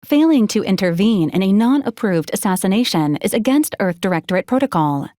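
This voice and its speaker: A woman's voice: mid-range voice, warm tone, no echo, clean studio sound, lightly acted. An actress playing a dutiful female robot combat assistant in a video game, with a formal, clipped, clinical and coldly polite delivery. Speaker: robotic companion to a commander. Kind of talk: scripted video game character lines.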